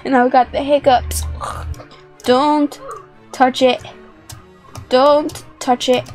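A young woman giving playful, excitable, dramatic commentary as she plays a video game. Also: high voice. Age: young adult. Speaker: gaming video host. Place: indoor room with plush toys. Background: music.

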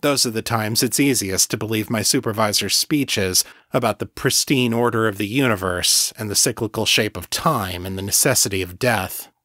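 Dry-sounding, low-pitched male story narrator. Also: normal speed, hardly any pauses, bright, forceful tone, no echo. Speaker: audiobook narrator. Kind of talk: scripted first-person fiction narration.

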